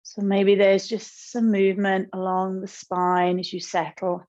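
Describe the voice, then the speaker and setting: A woman meditation teacher speaking in a gentle, calm, unhurried way with pauses as she guides a practice. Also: mid-range voice, dull audio.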